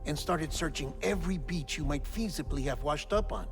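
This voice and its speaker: A man playing an earnest, creaky-voiced operative. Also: fairly high pitch, normal speed, close to an everyday voice. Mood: earnest, explanatory. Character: earnest, wry male intelligence operative briefing an old comrade. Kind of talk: cinematic video game cutscene acting. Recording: game music behind the voice.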